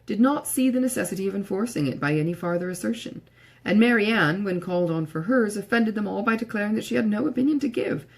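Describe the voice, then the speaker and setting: Husky woman reading a classic novel. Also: mid-range voice, animated, quick pace, hardly any pauses. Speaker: audiobook reader. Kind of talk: scripted literary narration.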